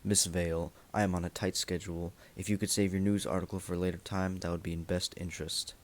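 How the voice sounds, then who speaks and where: A man's voice: low voice, little pitch movement, fast, lightly acted. A man playing a grim masked vigilante and a smug crooked lawyer in an amateur superhero radio play. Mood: grim, businesslike. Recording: music behind the voice.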